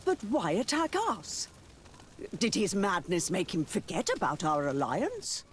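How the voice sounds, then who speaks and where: Proud, sceptical male church leader, high-pitched, swooping delivery, formal game drama. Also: sing-song, measured pace, slight background noise. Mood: suspicious, indignant. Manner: formal, theatrical, probing. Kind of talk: video game cutscene dialogue acting.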